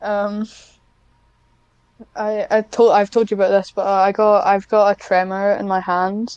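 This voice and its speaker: A woman talks casually as an interview guest in a smooth, crisp voice recorded very dry. She is hesitant and self-deprecating, feeling her way through answers with a giggly streak. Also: mid-range voice, level tone, unhurried, a little mellow, no echo, highs cut off. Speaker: podcast guest, scriptwriter.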